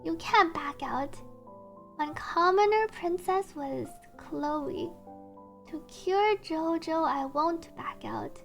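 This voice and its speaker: A woman's voice: extremely high pitch, animated, gravelly. A woman livestreamer reading game text aloud and adding chatty asides, with game music behind her. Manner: reads story text slowly, then chatty asides. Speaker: streamer, VTuber.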